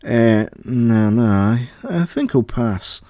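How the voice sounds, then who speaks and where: A man's voice: mid-range voice, animated, slow pace, dark tone, no echo, clean studio sound. A man voicing a bewildered, dryly sceptical young boy who has lost his memory.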